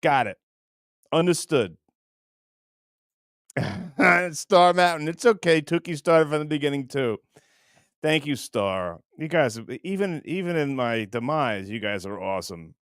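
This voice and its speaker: A man's voice: fairly high pitch, expressive, measured pace, pauses often, a bit bright, no echo, studio quality. A man hosting a livestream in casual chat, reading out comments and rambling in a self-deprecating way. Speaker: livestream host.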